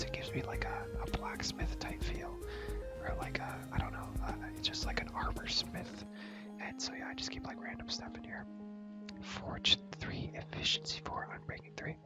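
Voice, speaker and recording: Constant whisper, repetitive rambling over music. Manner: repeats words and phrases rhythmically; slangy, casual, mild swearing. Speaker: video creator giving a game world tour. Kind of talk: whispered ASMR, casual rambling game tour.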